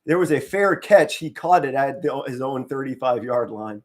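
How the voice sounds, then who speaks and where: A middle-aged man from Pennsylvania commentates a game live for his stream, his voice hoarse and worn. He speaks low and restrained to spare his throat, then breaks into short excited bursts. His pitch sits in the middle of the male range. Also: normal speed. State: hoarse, straining to save his voice. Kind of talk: casual live play-by-play commentary.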